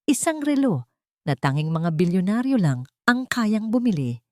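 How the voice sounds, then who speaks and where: A woman narrating a scripted drama in a steady storytelling manner. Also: mid-range voice, moderate pace, no room sound, studio quality.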